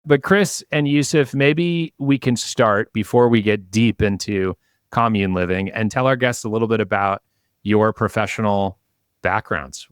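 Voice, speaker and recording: A man's voice: lowish voice, unhurried, smooth, no room sound, clean studio sound. A man hosting a podcast and interviewing guests in a conversational, presenterly way.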